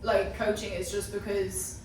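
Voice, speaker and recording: A woman's voice: mid-range voice, flat delivery, unhurried, darkish tone. Distant, echoey woman in casual discussion. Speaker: sports media team member in panel discussion. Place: echoey room. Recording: very roomy, distant mic, faint noise.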